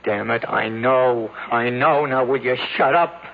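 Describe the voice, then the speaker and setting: An actor playing a desperate, short-tempered young man, curt and strained with a hoarse voice, in old-time radio drama. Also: mid-range voice, a little slow. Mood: irritated snapping. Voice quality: gravelly, hoarse. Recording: dull old radio broadcast.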